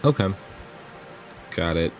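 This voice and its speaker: A man's voice: takes it slowly, recorded from a distance, soft background music. A man playing a tabletop game, chatting casually and responding to the game master.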